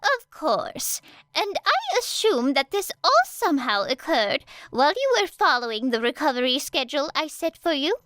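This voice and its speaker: A woman voicing a fussy, scowling old lady nurse with a sharp, exasperated manner in an audiobook. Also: sits high, sing-song, normal speed, no echo, studio quality, voice pushed up, heavily acted. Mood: scolding. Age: older. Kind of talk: audiobook character acting.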